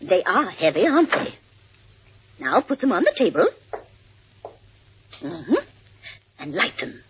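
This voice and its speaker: An older, moneyed hostess in a vintage radio drama. Her high voice is husky and swoops theatrically through teasing endearments and imperious orders. The old broadcast sound is dull and narrow. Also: very animated, slight background noise, heavily acted. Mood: commanding, sly. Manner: theatrical, drawn-out endearments, chuckling. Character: wealthy older society woman, gushing, teasing, imperious hostess. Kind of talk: 1950s radio drama acting. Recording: muffled 1950s radio broadcast recording.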